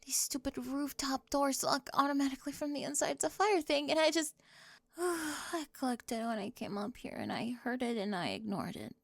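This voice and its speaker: A woman plays a prickly young cheerleader who needles and deflects with sarcasm, often slipping into a close whisper. Her voice sits a little high with a gravelly fry at the edges. The sound is dry and up close to the mic. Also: very animated, moderate pace, no room sound, exaggerated voice. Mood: snippy. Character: sharp-tongued young woman cheerleader bully. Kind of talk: ASMR roleplay.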